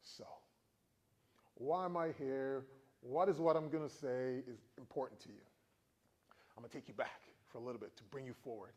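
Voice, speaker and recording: A man in his forties from the Virgin Islands, giving a motivational talk to students with animated storytelling and questions for the crowd. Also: mid-range voice, normal speed, pauses often. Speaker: motivational speaker.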